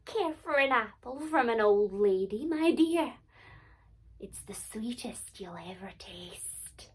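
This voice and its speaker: A woman's voice: a little high, animated, slow pace, husky, full character voice. Woman putting on a sly, coaxing old crone. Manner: coaxing, wheedling. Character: sly old crone, a disguised evil queen.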